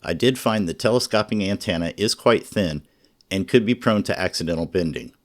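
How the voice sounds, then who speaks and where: A man narrating a scripted product review in a measured, informative way. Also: low voice, animated. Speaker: radio reviewer.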